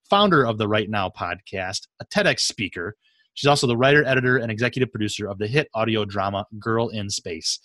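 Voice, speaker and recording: A man's voice: medium pitch, fairly bright tone, dry recording. A man hosting a podcast in a conversational, enthusiastic and emphatic way. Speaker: podcast host and interviewer.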